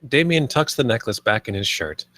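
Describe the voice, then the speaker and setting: A man's voice: medium pitch, sing-song, normal speed, pushed and bright, no echo. A man reading out stage directions and scene headings as narrator at a film table read.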